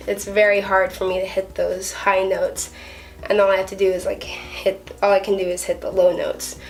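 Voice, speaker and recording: Earnest young woman vlogging, slightly distant mic. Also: mid-range voice. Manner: earnest, chatty, with fillers and restarts. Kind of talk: casual vlog talk to camera.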